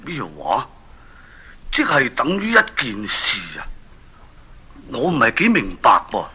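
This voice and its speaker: A man's voice: medium pitch, wildly swooping pitch, slow, bright, forceful tone, slightly rough, exaggerated voice. An actor playing an aging, worried and impatient king in a children's radio drama, exclaiming and questioning theatrically. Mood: eager, puzzled.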